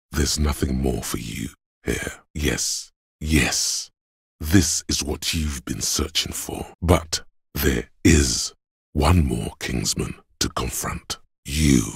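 Bottomless, gravelly inner voice. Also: male voice, dry recording, studio quality, full character voice. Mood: solemn, ominous. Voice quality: very deep, gravelly, husky rasp. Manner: slow, ominous, grandiose, sardonic. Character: gravelly inner voice of a man's bodily endurance, brooding, grandiose. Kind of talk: video game voice acting.

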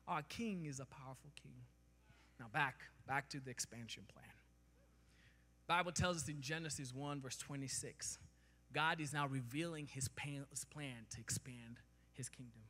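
A man in his thirties from Kenya, preaching a sermon on stage with a handheld mic, building to loud emphatic peaks. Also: medium pitch, normal speed. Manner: preaching with rising emphasis, gesturing while walking the stage. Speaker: pastor. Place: large church stage, indoors. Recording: long hall reverb.

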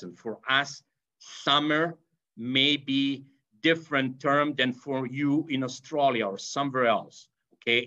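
A middle-aged lecturer with an Eastern European accent, speaking at an everyday mid pitch. He talks in halting bursts, full of fillers and restarts, as he explains things to a remote class. The sound is a little dull, like webcam audio on a video call. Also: fairly bright tone. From Yugoslavia. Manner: hesitant, many fillers and restarts. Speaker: veterinary professor. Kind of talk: online lecture.

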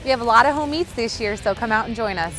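A young woman speaks outdoors on a campus in an upbeat, inviting way. She sounds like a team assistant promoting home events. Soft music plays behind her. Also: a little high, fast, low-quality recording. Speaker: graduate assistant coach. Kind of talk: promotional introduction. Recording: light background music.

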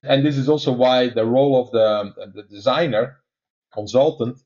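A man with a Dutch accent, a guest expert explaining technical systems in conversation, pausing often with fillers. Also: mid-range voice, darkish tone, lo-fi sound. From Netherlands. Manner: many "uh" fillers, measured explaining.

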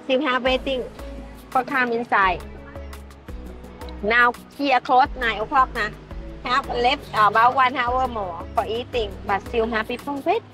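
A woman's voice: fairly high pitch, a little slow, pushed and bright, soft background music. A Thai woman hosting a food vlog in simple, broken English with Thai words mixed in, giggling often, at an outdoor restaurant table at night, recorded by a camera across the table. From Thailand. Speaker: vlog host. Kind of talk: casual food vlog chat.

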